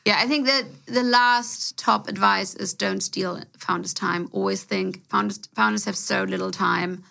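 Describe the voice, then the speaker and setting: A woman's voice: mid-range voice, steady tone, unhurried, creaky, bright, forceful tone. A woman co-hosting a casual interview chat, giving earnest and emphatic advice about investing. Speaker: podcast co-host, venture fund co-founder.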